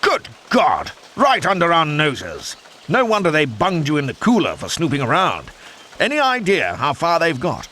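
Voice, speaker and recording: A genial old-school British air force officer for a game cutscene. His plummy British voice is bright and hugely animated, full of courteous, jovial military slang. A faint noise sits behind him. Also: a little high, moderate pace, exaggerated voice. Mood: concerned, courteous. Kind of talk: video game cutscene acting.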